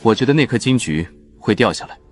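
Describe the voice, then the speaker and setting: An actor playing a polite, self-assured young actor with a husky voice in an animated drama. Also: male voice, mid-range voice, fast, a little hiss or hum, lightly acted. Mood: polite, confident. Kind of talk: dramatic character dialogue.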